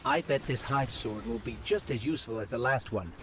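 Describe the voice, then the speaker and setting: A man voicing a small, curious robot companion in a video game, asking quick, inquisitive questions. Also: medium pitch, noisy background, close to an everyday voice. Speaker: Ghost companion to the player. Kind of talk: video game cutscene voice acting. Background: orchestral game score.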